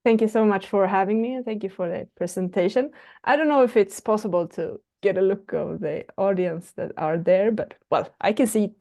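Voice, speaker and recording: A woman's voice: medium pitch, moderate pace, gravelly, dark tone. A woman with a Swedish accent, a non-native English speaker, giving an online presentation with many fillers and restarts. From Sweden. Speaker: guest speaker.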